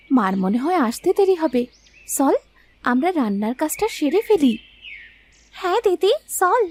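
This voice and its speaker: A woman voicing an eager, caring young village daughter in a cartoon story, with earnest, expressive delivery. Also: extremely high pitch. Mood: eager, helpful. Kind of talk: Bengali animated moral story dubbing.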